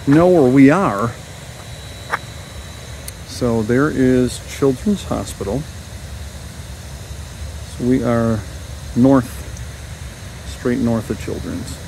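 A man narrating a casual walking tour outdoors into his handheld camera, musing and reflective, with pauses. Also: medium pitch, darkish tone, a little hiss or hum, rough recording. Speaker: vlog host, walking tour guide. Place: outdoors, walking a wooded path at dusk. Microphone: handheld camera held by the speaker.

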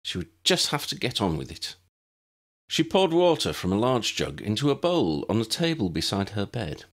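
A man narrating a scripted story in a measured, expressive storybook manner. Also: fairly low pitch, gravelly. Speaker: audiobook narrator and author.

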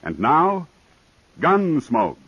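A man announcing in an upbeat 1950s radio sales style. His husky voice is recorded dry, and he rises and falls in a lively way. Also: a little high, drawn-out words, no echo, dull audio. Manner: smooth, upbeat sales patter. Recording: dry old radio broadcast.

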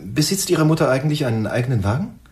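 A man's voice: mid-range voice, very animated, fast, darkish tone. A man acting in a radio drama as a sober, businesslike police sergeant.

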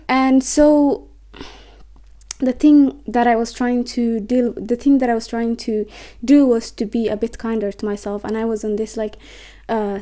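A woman in her twenties whose first language is Somali, speaking to a desk camera in a small bedroom. Her voice sits a little high with a soft, mellow tone. She rambles, stops herself and trips over her words as she thinks aloud. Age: 20s. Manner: rambling, self-interrupting, stumbles on words. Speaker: vlogger. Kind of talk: reflective talk to camera. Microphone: camera on a desk, facing her.

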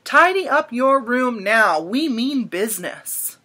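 A woman's voice: fairly high pitch, animated, takes it slowly, pushed and bright, husky, full character voice. A woman voicing a stern horde of storybook cockroaches, giving orders in an emphatic, commanding manner. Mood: menacing command.

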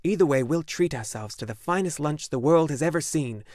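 A man's voice: a little high, animated, fairly quick, a little mellow, no echo, studio quality, pitched above the performer's own voice, close to an everyday voice. A man voicing a cheerful, doting father in an audiobook, jovial and expansive. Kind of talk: audiobook character voice.

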